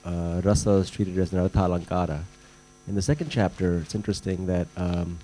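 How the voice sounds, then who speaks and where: Low, mellow-voiced male questioner, halting, faint hum. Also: low-quality recording. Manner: halting, many fillers. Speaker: audience member asking a question. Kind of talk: question from the floor. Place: lecture hall.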